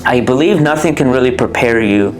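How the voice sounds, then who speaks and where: A man's voice: mid-range voice, lively intonation, a little fast, low-quality recording. A man, a guest giving a reverent, thoughtful faith testimony. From Colombia. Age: 20s.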